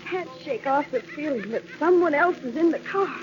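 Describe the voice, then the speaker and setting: Scared wife in an old radio thriller, high voice swinging all over, dull recording. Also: female voice, lively intonation, normal speed. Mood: anxious dread. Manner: anxious, melodramatic. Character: frightened wife haunted by dread of a stranger. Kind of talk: 1940s radio suspense acting.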